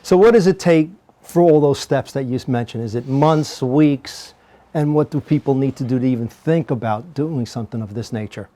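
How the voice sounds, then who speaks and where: A man's voice: mid-range voice, moderate pace, warm tone. A man hosting a talk show interview, friendly and encouraging, laughing along with his guest.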